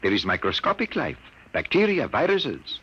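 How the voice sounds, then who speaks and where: A man's voice: mid-range voice, wildly swooping pitch, moderate pace, slightly rough. A man acting a grave, troubled scientist of sixty-two in a 1950s radio drama. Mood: grave, explaining. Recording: muffled old radio broadcast.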